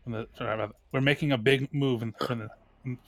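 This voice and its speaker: A man's voice: mid-range voice, steady tone, fast talker, vocal fry, fairly bright tone, slight background noise. A man playing a tabletop game, talking casually and searching for his words as he explains his character. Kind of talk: casual roleplay table talk.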